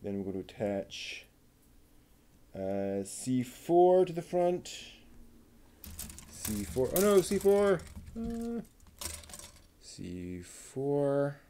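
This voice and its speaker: A man's voice: medium pitch, big pitch swings, very slow, long pauses, dark tone, slight background noise. A man building a model kit on a live stream, muttering to himself and chatting casually with viewers as he works.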